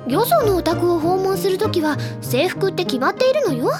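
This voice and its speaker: A woman voicing a bubbly, earnest teenage schoolgirl who tells stories in an expressive, chatty audio drama style. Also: extremely high pitch, moderate pace. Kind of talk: Japanese audio drama acting. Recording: background music under the voice.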